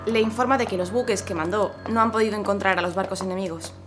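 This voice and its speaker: A woman plays a young military telegraphist in a radio drama. She reports in a formal, deferential way with medium pitch, music playing behind her. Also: fast talker, natural voice. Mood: dutiful reporting. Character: dutiful, brave young female military telegraph officer. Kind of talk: period audio drama acting.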